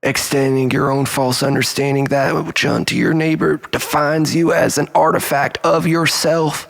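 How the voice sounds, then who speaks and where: Slow husky man in long reverb. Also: on the high side. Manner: rambling, melodramatic, mock-philosophical. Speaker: storyteller. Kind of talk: dramatic comic monologue storytelling. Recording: long reverberant hall echo.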